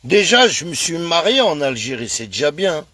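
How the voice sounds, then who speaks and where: Man from France, high-ish voice, slow and insistent, streaming live into a close phone mic with dry sound. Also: very animated, bright, forceful tone, breathy edge, dry recording. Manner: emphatic, repetitive, addresses viewers as brother. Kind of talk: casual live-stream rant.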